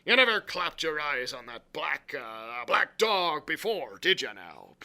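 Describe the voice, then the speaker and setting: Crafty old-salt tavern keeper for audiobook: hearty, blustering, forceful, with big swoops in pitch. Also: male voice, big pitch swings, moderate pace, vocal fry, exaggerated voice. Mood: stern, sarcastic. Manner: blustering, emphatic, salty sailor talk. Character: loud, hearty, crafty one-legged seafaring tavern landlord. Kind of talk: audiobook character acting.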